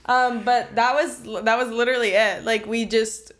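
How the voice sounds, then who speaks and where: A woman's voice: on the high side, fairly even intonation, a little slow, a bit bright. A woman co-hosting a casual comedy podcast, candid and profane, arguing her points in a mock-serious way. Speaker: podcast co-host.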